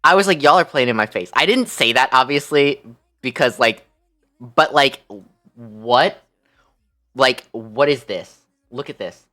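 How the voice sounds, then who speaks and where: A woman livestream host telling a story casually, in an animated, incredulous, slangy way. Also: rumbling low, unhurried.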